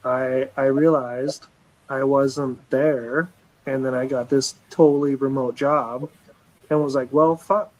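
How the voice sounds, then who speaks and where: Forties guy, mid-pitched, rambling casual live-stream chat, restarts a lot. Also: fairly even intonation, slow pace, low-quality recording. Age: 40s. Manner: rambling and earnest, with frequent restarts and casual swearing. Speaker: livestream show regular.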